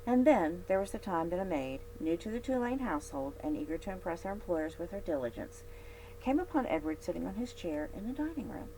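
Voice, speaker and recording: A woman with a low voice reads a children's story aloud for a library. Her phrasing is expressive, with emphatic stresses on key words. A clear, steady hum and noise sit behind her. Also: a little fast, low-quality recording. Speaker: library read-aloud host. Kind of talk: scripted storybook narration.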